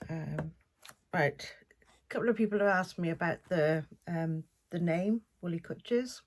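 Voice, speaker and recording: A woman's voice: on the low side, a little slow. An older woman with a South Welsh accent, hosting a podcast in a casual, meandering, homely chat. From South Wales. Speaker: podcast host.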